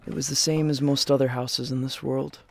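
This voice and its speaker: A man's voice: mid-range voice, flat delivery, brisk, vocal fry, a little hiss or hum, natural voice. A young man acting as a weary, reflective survivor of a post-apocalyptic world in an audio drama. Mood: somber, reflective.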